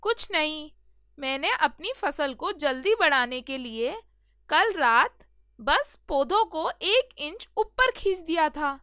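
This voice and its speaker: A woman's voice: high voice, very animated, takes it slowly, no echo, clean studio sound, voice pushed up, exaggerated voice. A woman voicing a greedy, impatient, nagging farmer's wife in a cartoon fable, with a complaining, pushy manner. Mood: flustered. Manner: complaining, pushy, sharp-tongued.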